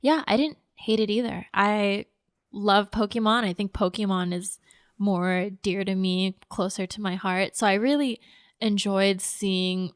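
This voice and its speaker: A woman's voice: medium pitch, steady tone, measured pace, clear, resonant tone, no echo, clean studio sound. A woman hosting a podcast in a casual, conversational chat about films. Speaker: podcast host. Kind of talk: casual film discussion chat.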